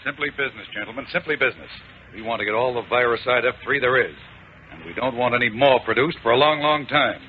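Through narrow, phone-like old radio sound comes a man acting a suave American space gang villain. He is measured and coldly polite, treating menace as plain business. Faint noise runs underneath. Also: mid-range voice, expressive, close to an everyday voice. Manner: smooth, mock-courteous, measured menace. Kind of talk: 1950s radio serial acting. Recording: tinny, noisy 1950s radio recording.

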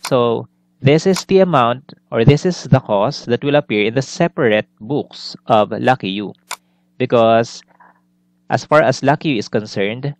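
A man giving a recorded accounting lesson, explaining methodically step by step and restating his points. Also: medium pitch. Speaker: accounting instructor. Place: indoors, screen-recorded lesson voice-over.

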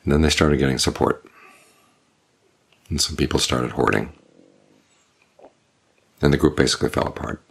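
An older man, a Buddhist monk, giving a calm, measured evening Dhamma talk with unhurried pauses. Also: very deep voice, gravelly, husky. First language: English.

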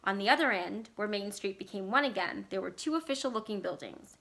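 A woman's voice: mid-range voice, lively intonation, a little fast, vocal fry, a bit bright. A middle-aged woman reading a story aloud as narrator. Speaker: library staff reader. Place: small furnished room indoors.

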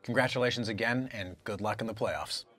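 An actor playing a brisk, polite sports interviewer in a video game post-match interview. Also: male voice, fairly low pitch, a bit bright. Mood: polite, congratulatory.

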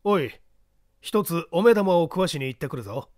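A man's voice: on the high side, animated, moderate pace, darkish tone, dry recording, clean studio sound, pitched above the performer's own voice, close to an everyday voice. A man voicing a middle-aged railway chief and scolding husband in a storybook reading, curt and brusque. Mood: irritated.

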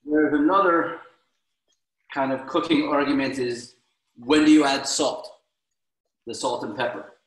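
A man's voice: mid-range voice, steady tone, a little slow, long pauses, reverberant, rough recording. A middle-aged man from the United States explaining a recipe casually on a video call from his home kitchen, with restarts. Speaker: guest cook. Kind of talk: casual cooking demonstration. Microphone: laptop video call.

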